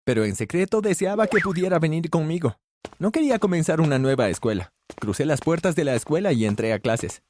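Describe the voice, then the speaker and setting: Male narrator for a first-person story, fairly high voice with a lively, swinging tone; faint background noise. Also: fairly quick. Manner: animated storytelling, shifts pitch for characters' lines.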